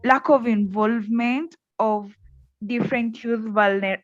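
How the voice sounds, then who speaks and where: A woman's voice: on the high side, steady tone, unhurried, dry recording. A woman from Kenya reporting back from a group discussion over a video call, tentative and repeating herself. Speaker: breakout group participant. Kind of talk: online conference report-back. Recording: dull lo-fi call audio.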